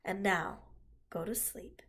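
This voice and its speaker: A woman voicing a gentle, wise female spider who speaks softly and low, often in a whisper, in a read-aloud storybook. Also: sing-song, a little slow. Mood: tender hushed.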